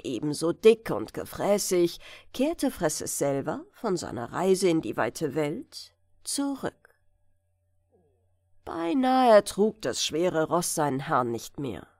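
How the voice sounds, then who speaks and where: A woman narrating a bedtime fairy tale as an audiobook, measured and careful in her storytelling. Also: mid-range voice, darkish tone, dry recording, studio quality.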